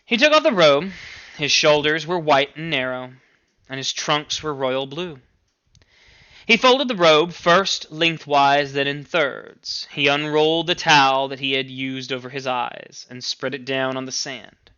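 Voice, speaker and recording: A man reading a story aloud as a teacher, in an expressive narrating style with animated delivery. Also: on the high side, measured pace, clear, resonant tone, lo-fi sound.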